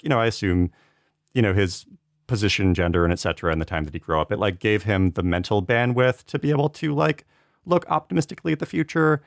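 Deep-voiced man in casual, rambling discussion. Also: no echo, studio quality. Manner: hedging, rambling, peppered with "like". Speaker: podcast panelist.

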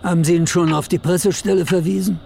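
A curt, gravelly official. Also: male voice, sits high, fairly even intonation, fast talker, warm tone, slight background noise. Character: curt, busy man agency official. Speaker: agency official Dr. Schrader. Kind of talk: radio drama acting.

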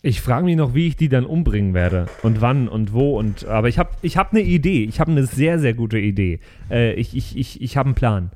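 Casual man bantering, mid-pitched voice. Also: animated, a little fast, darkish tone. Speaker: podcast co-host and player.

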